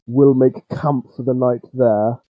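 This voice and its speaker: Guy doing a grumpy old stallion ranger, sardonic and gruff, kinda lo-fi close dry mic, fanfic reading. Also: mid-range voice, fairly even intonation, slow, no room sound, lightly acted. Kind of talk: fan fiction audio reading, character voice.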